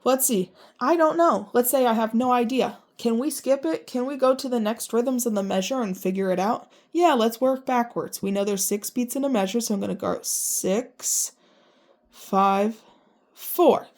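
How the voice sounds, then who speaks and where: A woman music teacher with a congested voice, explaining rhythm reading and counting beats aloud in strict, even syllables. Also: on the high side, moderate pace. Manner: chatty and self-deprecating between counts.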